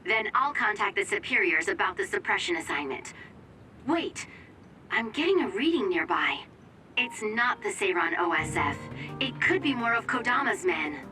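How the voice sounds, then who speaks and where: A woman's voice: mid-range voice, very animated, normal speed, pushed and bright. A woman voicing an efficient, alert young support fighter in an anime-style game. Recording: distant from mic, music behind.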